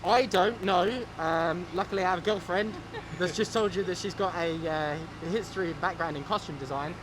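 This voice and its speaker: A man's voice: sits high, normal speed. A man cosplayer talking in an easygoing way in an interview at a convention. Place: busy convention hall. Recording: noisy background.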